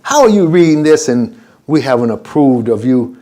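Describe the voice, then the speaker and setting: An older man leads an informal religious class as imam. His pitch swings widely and he talks loosely, drifting between points and slipping into quick back-and-forth exchanges he plays out himself. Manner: animated and digressive, acts out conversations.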